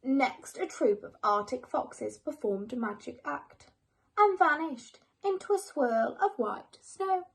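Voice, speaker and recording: A woman reading a children's bedtime story aloud in an expressive, measured storytelling manner. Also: high-pitched, creaky.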